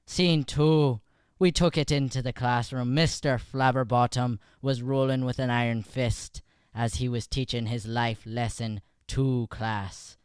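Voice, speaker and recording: A man's voice: mid-range voice, fairly even intonation, measured pace, hardly any pauses, clean tone, no echo, clean studio sound. A man performing as an improv comedian, bantering and recapping a show informally into a podcast microphone.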